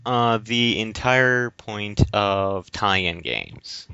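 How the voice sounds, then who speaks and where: A man's voice: lowish voice, lively intonation, drawn-out words, vocal fry, sharp tone, clear, resonant tone, no echo. A man co-hosting a podcast in casual discussion, hesitantly searching for words and repeating phrases. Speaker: podcast co-host. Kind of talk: casual discussion of video games. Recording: dry but dull lo-fi recording.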